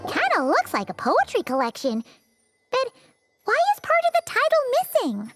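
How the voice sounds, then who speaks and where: A woman voicing a tiny excitable childlike fairy companion in a video game, squeaky and expressive. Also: moderate pace, music in the background, full character voice. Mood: curious, suspicious. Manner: exclamatory, expressive, chatty.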